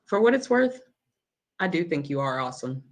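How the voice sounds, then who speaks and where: A woman's voice: deep voice, big pitch swings, moderate pace, exaggerated voice. A woman playing a caring, reassuring nurse on evening rounds in a dramatic table read. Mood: kindly.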